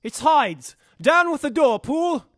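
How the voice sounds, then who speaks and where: Man playing a stern, formal Victorian gentleman, dry recording. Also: very high voice, expressive, unhurried, no room sound, exaggerated voice. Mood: commanding, urgent. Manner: formal, old-fashioned, declamatory. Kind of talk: audio drama acting.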